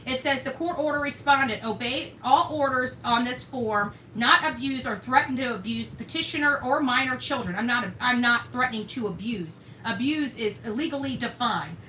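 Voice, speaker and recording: A woman's voice: on the high side, moderate pace, few pauses, sharp tone. A woman streaming to her audience, indignant, combative and emphatic as she reads documents aloud and comments on them. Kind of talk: livestream rant, reading documents aloud with commentary. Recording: roomy echo, distant mic, faint noise.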